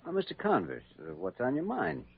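An actor playing a calm, earnest young doctor with a wry streak in an old radio drama. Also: male voice, mid-range voice, brisk, gravelly, narrow, tinny audio, slight background noise. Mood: wry, easygoing. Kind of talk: 1940s radio drama acting. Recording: thin, echoing vintage radio recording.

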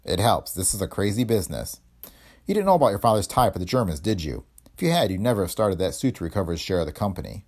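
A man's voice: deep voice, sing-song, quick pace, a little mellow, breathy edge, full character voice. A man voicing a cocky, sardonic, hard-headed investigator in a hardboiled detective story, wry and tough-talking. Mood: mocking. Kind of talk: hardboiled detective audiobook dialogue.

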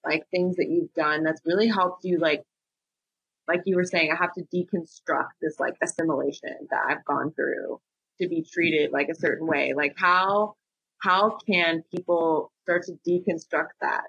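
A woman's voice: deep voice, fairly even intonation, moderate pace, a bit bright, slightly rough, no echo, lo-fi sound. A woman hosting an interview, asking hesitant, rambling questions. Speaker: host.

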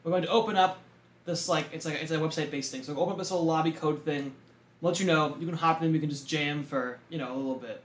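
Fast-talking young man, echoey room. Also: fairly high pitch, fairly even intonation. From Massachusetts. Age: young adult. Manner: fast, filler-heavy, full of likes and you knows. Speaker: podcast co-host. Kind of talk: casual chat. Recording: roomy echo.

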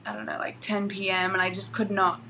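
A young woman with an Australian accent and a bright, sharp tone answers interview questions. She speaks softly and hesitantly, often pausing on "like" and "um.". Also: medium pitch, big pitch swings, slight background noise. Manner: soft, hesitant, lots of "like" and "um". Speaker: college basketball player, guest.

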